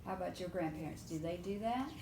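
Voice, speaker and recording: A woman's voice: fairly low pitch, animated, quick pace. A woman leading a preschool storytime, reading aloud and adding simple explanations and questions for young children. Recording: roomy echo, faint background noise.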